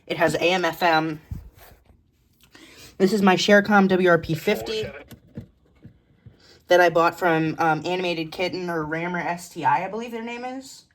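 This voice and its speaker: A woman's voice: on the low side, moderate pace, pauses often. A woman giving a casual, matter-of-fact show-and-tell of a hobby collection in short, clipped phrases, recorded indoors at home.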